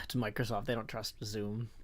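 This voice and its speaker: A man's voice: fairly low pitch, level tone, normal speed, sharp tone, a little hiss or hum. A man live-streaming a game, chatting in a rambling, casual way and answering his viewers as he plays. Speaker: livestreamer. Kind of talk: casual live-stream chat while playing.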